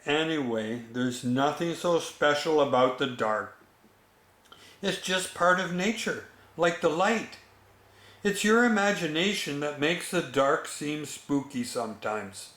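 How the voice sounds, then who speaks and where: Man reading as slow-talking, patient papa bear. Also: on the high side, lively intonation, a bit bright. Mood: reassuring. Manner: patient, instructive. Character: calm fatherly papa bear, reassuring and explaining. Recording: echoey room.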